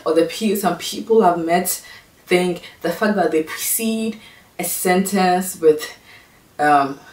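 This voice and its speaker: A young woman from Ghana with a Ghanaian accent, talking to camera in a casual, exasperated and sarcastic vlog. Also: darkish tone. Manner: exasperated, sarcastic, mimics others in high voices. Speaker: vlogger. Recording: echoey room, mic at a distance.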